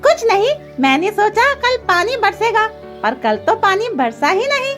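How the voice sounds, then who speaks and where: A woman's voice: very high-pitched, big pitch swings, unhurried, fairly bright tone, faint music behind, voice pushed up, heavily acted. A woman voicing a male crow farmer in a children's cartoon, whining and boastful, with an exclamatory way of talking. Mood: gloomy.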